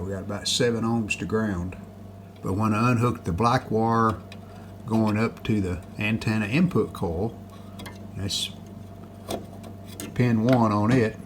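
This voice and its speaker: A man with a fairly low voice talks through a hands-on repair in a casual way. He is very slow and unhurried, thinking aloud as he goes. There is clear noise behind his voice. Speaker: hobbyist restorer presenting a repair video.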